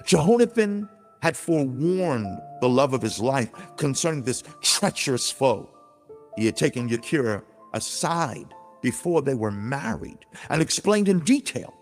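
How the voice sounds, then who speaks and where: A man giving a dramatized, theatrical narration of a written story, with emphatic and deliberate phrasing. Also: medium pitch. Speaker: narrator reading own book. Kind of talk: dramatized scripted narration of an allegory. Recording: long reverb, music underneath. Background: music.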